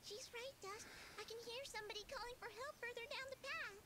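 Squeaky, excitable little fox creature. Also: female voice, normal speed. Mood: urgent. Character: small female fox-like flying creature, excitable, cocky sidekick. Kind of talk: animated video game voice acting. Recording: noisy game sound effects behind.

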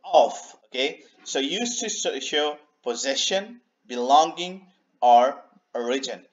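A man teaching an English grammar lesson over slides, unhurried, repeating himself and filling pauses with "okay" and "uh". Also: fairly high pitch, lively intonation, lo-fi sound. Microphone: voice-over on a screen recording.

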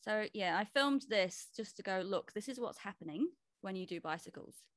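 A woman's voice: mid-range voice, animated, moderate pace, a bit bright, dry recording. Chatty New woman on a video call. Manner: chatty, explains with quoted asides. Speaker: personal trainer presenting to a trainers' meeting. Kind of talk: casual presentation over a video call.

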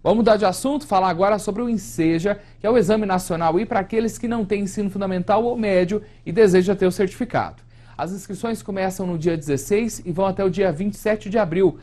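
A young man anchoring a regional evening newscast from a studio, reading the news in a steady, formal way. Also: high voice, fast. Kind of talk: scripted news presenting.

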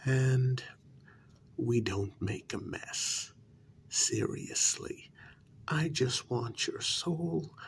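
A man voicing a flirty female succubus in a whispered ASMR advert pitch, breathy, coy and teasing. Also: a little hiss or hum, heavily acted.

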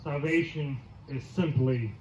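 A middle-aged pastor giving a conversational outdoor sermon, asking the crowd questions and repeating key lines, heard through a handheld mic and PA. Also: male voice, mid-range voice, slow, slight background noise. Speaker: teaching pastor. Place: church lawn outdoors, congregation under a tent. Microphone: handheld mic through PA speakers, filmed from afar. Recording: distant, roomy outdoor PA sound.

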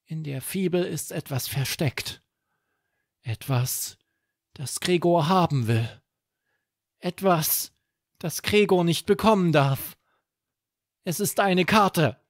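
A man's voice: on the high side, slow, long pauses, no room sound, clean studio sound, voice pushed up. A man voicing a small, earnest, secretive stuffed toy in a storybook tale.

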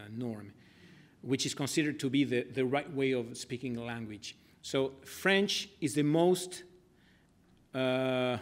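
A middle-aged man speaking at a lectern in a lecture room, answering audience questions with many restarts and fillers. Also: mid-range voice. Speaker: speaker at an academic forum.

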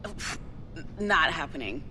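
A determined, evasive young woman in a zombie video game. Her voice sits fairly low and she talks casually in slang. A clear rumbling noise runs behind her. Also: expressive, normal speed, pushed and bright, full character voice.

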